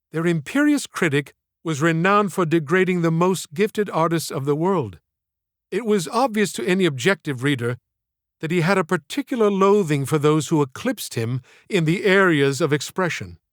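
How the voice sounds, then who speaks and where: A man reading his own literary audiobook in a theatrical, measured storytelling manner. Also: a little high, no echo, clean studio sound. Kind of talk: scripted literary narration.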